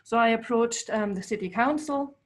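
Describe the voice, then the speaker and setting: A woman whose first language is German, giving a thoughtful presentation about her artwork to a small gathering, with frequent pauses and filler words. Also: fairly high pitch. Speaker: artist giving a talk. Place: local climate cafe event.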